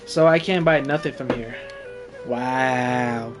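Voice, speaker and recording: A man's voice: mid-range voice, slow, music in the background. A man giving casual, slangy gameplay commentary and reading along as he streams a game. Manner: slangy, offhand.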